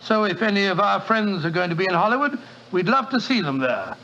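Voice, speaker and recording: A man's voice: high-pitched, animated, a little fast, bright, forceful tone, full character voice. An actor playing a genial, chatty doctor in a 1940s radio play, chuckling often as he talks. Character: genial older male doctor and storyteller. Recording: muffled old radio recording.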